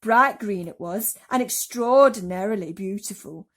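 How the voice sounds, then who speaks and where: A woman's voice: medium pitch, unhurried. A woman voicing a boastful, gleeful male centipede rascal in a storybook reading.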